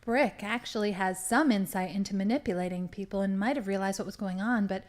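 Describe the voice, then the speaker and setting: A woman's voice: mid-range voice, a little fast, lightly acted. A woman acting a manipulative crime lieutenant who poses as sweet and innocent in an improvised radio drama. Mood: smug, scornful.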